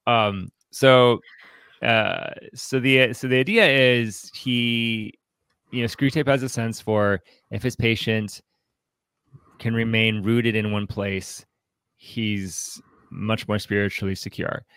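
A man hosting a podcast, discussing in a casual way, haltingly, often repeating words and saying "like". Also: fairly low pitch, fairly even intonation, fairly bright tone, clear, resonant tone, no room sound. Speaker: podcast host.

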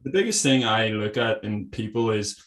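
A young man hosting a podcast, relaxed and casual, with a fairly even, monotone way of talking. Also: fairly low pitch, moderate pace. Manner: relaxed, laughs, self-described monotone.

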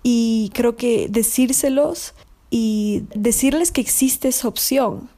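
Soft-toned hesitant woman. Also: a little high, takes it slowly. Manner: thoughtful, hesitant, repeats words. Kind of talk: casual discussion.

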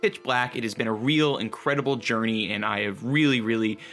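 A man's voice: medium pitch, fairly quick, a bit bright, soft background music. A man speaking as the narrator and presenter of a radio drama. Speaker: writer, producer and narrator.

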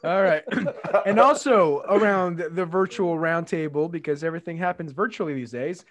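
A man's voice: a little high, moderate pace, fairly bright tone. A man with a Guatemalan accent hosting a podcast in casual, bantering talk. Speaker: podcast host.

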